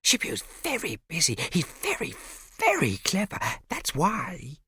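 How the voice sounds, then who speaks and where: A man voicing a small, sleepy, curious boy who talks bluntly in a high childish voice. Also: wildly swooping pitch, unhurried, bright, forceful tone, slightly rough, no room sound, clean studio sound, exaggerated voice.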